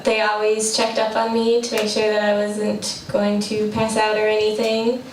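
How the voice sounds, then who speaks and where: A woman's voice: mid-range voice, monotone, moderate pace. A young woman, a student, recounting an experience for an interview. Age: young adult. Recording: echoey, distant, faint noise.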